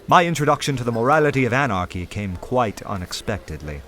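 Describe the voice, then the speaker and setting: Casting: cultured artist-detective for radio drama; dry wit, crisp theatrical diction, background sound. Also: male voice, mid-range voice, very animated, fast talker, bright, forceful tone. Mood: wry, measured. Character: wry, cultured artist turned undercover detective. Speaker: actor in a radio play. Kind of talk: dramatised first-person narration and dialogue. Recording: music or ambient noise behind.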